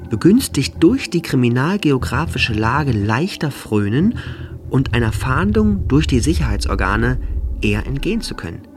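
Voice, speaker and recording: An actor playing a stern official reading an old crime journal article, stiff, bureaucratic and emphatic, in a radio play. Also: male voice, mid-range voice, slight background noise, lightly acted.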